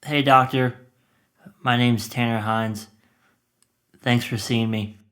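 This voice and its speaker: A man's voice: medium pitch, fairly even intonation, slow pace, fairly bright tone. A man playing a withdrawn, depressed teenage son in an audio drama, speaking tersely and hesitantly. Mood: nervous. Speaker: actor in a scripted podcast drama. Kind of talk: naturalistic audio drama acting.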